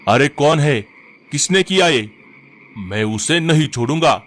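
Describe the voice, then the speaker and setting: A man's voice: fairly high pitch, lively intonation, unhurried, a bit bright. A man voicing a menacing eagle chief in a children's cartoon, domineering and scolding. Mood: enraged. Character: bossy, menacing male eagle chief of predator birds. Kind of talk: children's cartoon villain acting.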